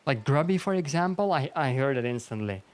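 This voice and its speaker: A man's voice: mid-range voice, animated, a little fast. A young man from Belgium with a strong Flemish accent, a native Dutch speaker, chatting casually and rambling on a gaming livestream, swearing often. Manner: rambling, swears a lot, self-deprecating, frequent restarts. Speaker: streamer.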